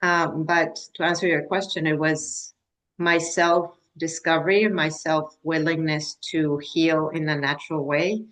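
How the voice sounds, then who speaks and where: A woman in her fifties from Mexico, a menopause wellness coach, talking on a video call in a halting way, with many restarts and fillers. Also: sits low, fairly even intonation, fairly bright tone, poor audio. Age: 50s. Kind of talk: casual video-call conversation.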